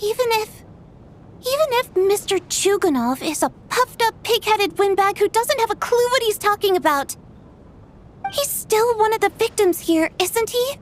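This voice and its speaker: A woman's voice: extremely high pitch, lively intonation, moderate pace, a little hiss or hum. A woman playing an outspoken, earnest young girl who works at a theatre, in a video game. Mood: pleading. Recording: background music.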